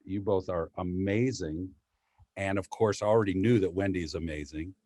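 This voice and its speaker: Low-voiced man, close dry sound. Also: moderate pace, mellow, dry recording. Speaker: actor. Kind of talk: casual post-show discussion. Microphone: laptop video call.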